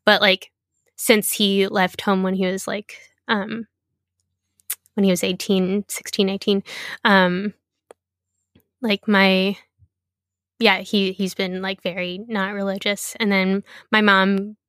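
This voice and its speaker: A young adult woman being interviewed, talking in a halting, casual way with many fillers and restarts. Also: medium pitch, little pitch movement, a bit bright, dry recording, clean studio sound.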